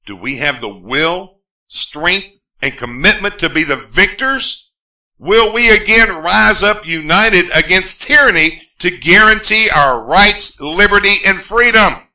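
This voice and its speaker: A man's voice: fairly high pitch, bright, forceful tone, poor audio. A man from the United States reading his own scripted political appeal in an earnest, emphatic, rhetorical manner. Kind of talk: scripted political speech, read aloud.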